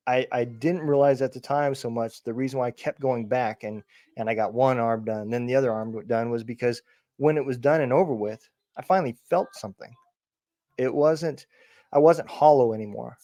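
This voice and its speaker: Male podcast host, halting, dry close sound. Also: mid-range voice, warm tone, no echo. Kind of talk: casual interview.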